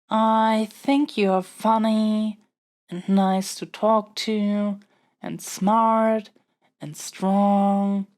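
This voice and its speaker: A woman's voice: mid-range voice, a little mellow. A woman playing an earnest, flustered young princess in an intimate audio roleplay, stammering and hesitating as she pleads. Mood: shy, tender. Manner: halting, hesitant, stammering delivery. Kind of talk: audio roleplay acting, intimate monologue to the listener.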